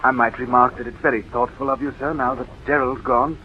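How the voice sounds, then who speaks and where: A man's voice: medium pitch, steady tone, fairly quick, lightly acted. A man playing a deferential servant in a 1940s radio drama, formal, discreet and faintly reproachful. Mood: polite. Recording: muffled, hissy old radio recording.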